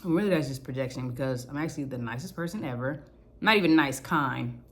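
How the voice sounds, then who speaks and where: A young woman speaks into her own vlog in a low, naturally deep voice. She rambles candidly through her thoughts and drops curse words without thinking about it. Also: lively intonation, brisk, a bit bright. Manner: candid, rambling, casual cursing. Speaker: vlogger. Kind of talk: personal reflective vlog.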